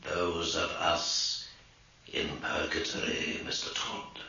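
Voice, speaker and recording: An actor playing the ghost of a stern military major in a radio horror drama, taunting and menacing with clipped, sardonic delivery. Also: male voice, low-pitched, fairly even intonation, slow, sharp tone, full character voice. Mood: eerie taunting. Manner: clipped barked commands, sardonic. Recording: lo-fi radio drama, echoing, hissy.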